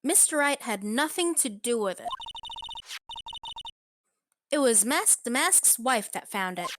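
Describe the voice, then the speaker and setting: A woman voicing an excitable, cheeky teenage girl sidekick in a video game dub, emotive and blunt. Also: fairly high pitch, measured pace, noisy background. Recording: game music behind the voice.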